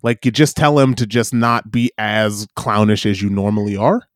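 A man's voice: on the low side, steady tone, normal speed, no room sound, clean studio sound. A man co-hosting a podcast in casual chat, rambling and hedging with frequent laughs. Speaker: podcast co-host.

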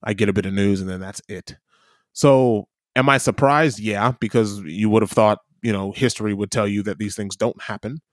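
A man's voice: on the low side, steady tone, normal speed, no echo, studio quality. A man in his thirties with a Midwestern American accent, chatting casually and arguing his point with easy laughs. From United States. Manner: chatty, argumentative, laughs easily. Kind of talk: casual chat.

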